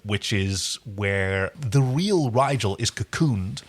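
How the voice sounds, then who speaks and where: A man's voice: on the low side, expressive, slow pace. A man co-hosting a casual episode recap, effusive and rambling, repeating words as he goes. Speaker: podcast co-host.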